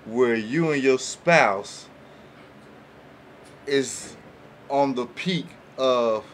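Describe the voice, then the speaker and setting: A man's voice: medium pitch, drawn-out words, a little hiss or hum. A man talking casually and colloquially in a podcast panel, often checking that listeners follow. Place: small indoor podcast room. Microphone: boom-arm studio microphone.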